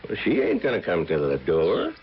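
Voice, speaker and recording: A man's voice: deep voice, wildly swooping pitch, moderate pace. Swooping, nosy male neighbour. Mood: nosy, sceptical. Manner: folksy, chatty, colloquial. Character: nosy, stubborn working-class neighbour walking his dog. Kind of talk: old-time radio drama acting. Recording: lo-fi old radio recording with hiss.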